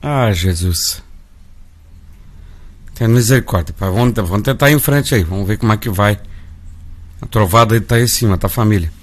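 Brazilian gospel radio host, folksy. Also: male voice, fairly low pitch, fairly quick, pauses often. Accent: Brazilian Portuguese. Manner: warm, folksy, calls listeners "family". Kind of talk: live gospel radio chat.

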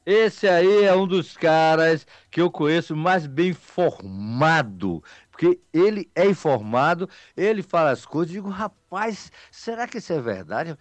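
A man's voice: fairly high pitch, expressive, a little slow, dry recording. A man with a Bahian Brazilian accent hosting local radio, chatting casually with listeners in a folksy, rambling, teasing way. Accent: Bahian Brazilian Portuguese.

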